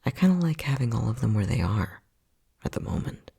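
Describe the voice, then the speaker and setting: Man playing a breathy, husky, unhinged male scientist. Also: mid-range voice, big pitch swings, fairly quick, mellow, exaggerated voice. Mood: coaxing. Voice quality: breathy, husky, often near a whisper. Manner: flippant, sardonic, mock-soothing, muttering. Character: unhinged, playful mad scientist man, casually cruel. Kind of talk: ASMR roleplay acting.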